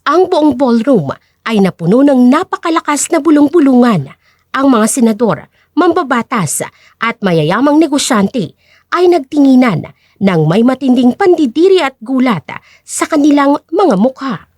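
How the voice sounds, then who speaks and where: A woman narrates a scripted drama story. Her voice is high, with lively swings in pitch. The recording is dry and free of room sound. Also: measured pace, dry recording.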